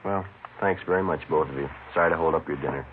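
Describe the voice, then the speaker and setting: An actor playing a calm police sergeant in a 1950s radio crime drama, clipped and matter-of-fact, both narrating and questioning. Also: male voice, very deep voice, quick pace, slight background noise. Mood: businesslike, polite. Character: calm, businesslike male police sergeant who narrates the case. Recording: tinny vintage radio, music underneath.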